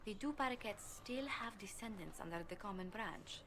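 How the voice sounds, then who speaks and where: A woman playing a poised noble diplomat in a fantasy game, speaking in a formal, grave and measured way. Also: medium pitch, animated, background noise, close to an everyday voice. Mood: grave, composed. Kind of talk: scripted video game dialogue.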